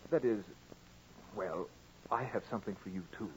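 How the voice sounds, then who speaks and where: A man's voice: fairly low pitch, moderate pace, natural voice. An actor in a 1940s-style radio drama playing a nervous, evasive gambler courting a young woman, hesitant and stammering. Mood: flustered. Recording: noisy old radio recording.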